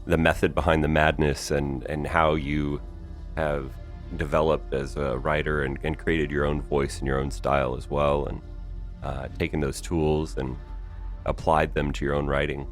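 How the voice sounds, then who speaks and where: A middle-aged man co-hosting a podcast, chatting casually and appreciatively in halting phrases. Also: extremely low pitch, little pitch movement, soft background music. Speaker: podcast co-host. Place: remote online recording session.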